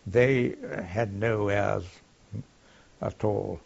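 An older man with a gravelly, husky voice, recalling his wartime service haltingly, with many pauses and fillers. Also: on the low side, a little mellow. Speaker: war veteran recounting service. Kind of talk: oral history recollection. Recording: dull lo-fi archive tape.

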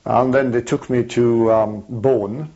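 An older man with a French accent, a native French speaker, recalling his life in an oral history interview, pausing often with fillers and restarts. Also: mid-range voice, fairly even intonation, mellow. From France. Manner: hesitant, many fillers and restarts. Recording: dull lo-fi archival tape.

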